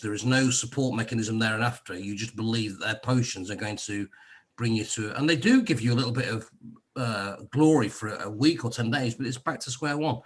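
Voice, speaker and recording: A man's voice: mid-range voice, husky. An English man in a casual conversation, telling his own health story in a rambling, wry way with many restarts. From England. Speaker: guest sharing a health testimonial. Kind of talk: casual video-call conversation. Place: lives in Cyprus.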